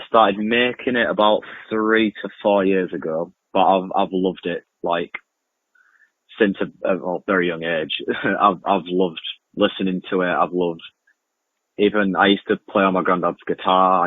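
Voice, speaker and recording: Deep-voiced, husky young man. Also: steady tone, normal speed. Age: young adult. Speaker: interview guest, amateur music producer and podcaster. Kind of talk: casual radio interview answers. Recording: muffled lo-fi, roomy echoing remote link.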